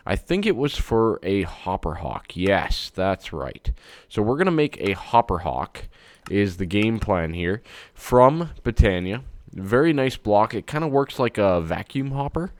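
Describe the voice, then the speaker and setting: A congested, stuffed-up man talks through his game in a relaxed, chatty way, with plenty of ums as he thinks aloud. His voice sits low. The sound is close and dry, with no room around it. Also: dry recording. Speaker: let's-play host. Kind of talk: casual gameplay commentary.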